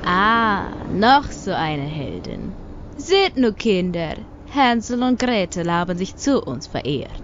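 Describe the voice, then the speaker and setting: Woman playing a slow, menacing villainess. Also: fairly low pitch, huge pitch swings, muffled recording, slight background noise, full character voice. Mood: mocking, menacing. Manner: condescending, menacing, drawn-out delivery. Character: cold, mocking villainess, ringleader holding children captive. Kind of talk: radio drama acting.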